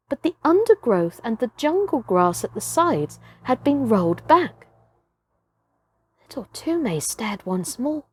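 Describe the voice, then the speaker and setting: A woman's voice: high-pitched, darkish tone, breathy edge, no room sound, studio quality. A woman reading a children's story as a dramatic narrator, with theatrical, emphatic phrasing.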